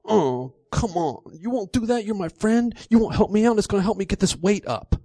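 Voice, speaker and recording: A man's voice: high-pitched, expressive, brisk, mellow, husky, dry recording, studio quality, voice pushed up, exaggerated voice. A man voicing a pushy, childish gym-goer who pleads and whines petulantly for what he wants. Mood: pouting. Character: pushy whining gym man demanding insults, childish tantrums.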